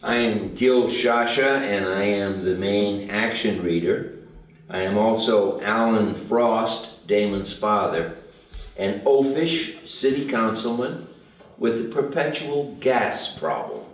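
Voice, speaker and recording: Slow, low-voiced man reading directions. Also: animated. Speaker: action reader at a table read. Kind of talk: reading screenplay directions aloud. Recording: very echoey room, distant microphone.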